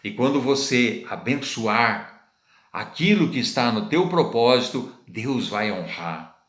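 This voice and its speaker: Brazilian preacher teaching in an echoey room. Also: male voice, medium pitch, expressive, takes it slowly, a bit bright. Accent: Brazilian Portuguese. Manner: conversational, repeats phrases, asks listeners rhetorical questions. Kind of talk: informal sermon teaching. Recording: roomy echo.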